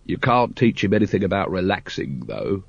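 A man voicing an exasperated, sarcastic captain of a city watch with a gruff, snappish manner. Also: deep voice, big pitch swings, brisk, no echo, highs cut off, pitched below the performer's own voice, exaggerated voice. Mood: sardonic disbelief.

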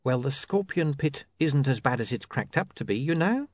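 A man voicing a smooth, calmly menacing male criminal in a storybook reading. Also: mid-range voice, normal speed, no room sound, voice pushed up, lightly acted. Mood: coaxing. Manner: calm reasoning menace.

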